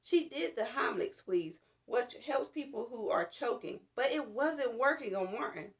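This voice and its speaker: A woman narrates a children's storybook at storytime. Her voice sits fairly high and moves with lively, expressive intonation, telling each part deliberately. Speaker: storytime reader.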